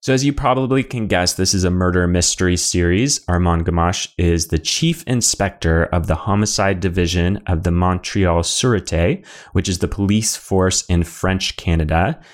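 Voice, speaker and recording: This is a man hosting a podcast, with a voice that sits low in pitch. He reads his scripted lines in an upbeat way. Between the scripted parts he slips into casual conversation with his co-host. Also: normal speed.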